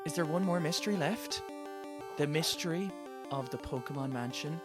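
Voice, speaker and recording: A game master playing a hushed storyteller who draws out a mysterious legend with teasing suspense. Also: medium pitch, normal speed, loud music behind the voice, lightly acted.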